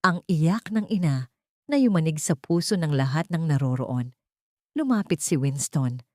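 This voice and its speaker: Audiobook narrator: Filipino woman, deep register, soft dark tone, scripted drama. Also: animated, normal speed, no echo, studio quality.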